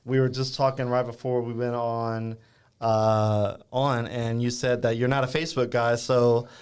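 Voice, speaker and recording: Male podcast host, mid-pitched, casual and hesitant, frequent filler words. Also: flat delivery, a little slow, a little mellow, clear, resonant tone. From Louisiana. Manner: easygoing, hesitant, many ums and uhs. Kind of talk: casual interview chat.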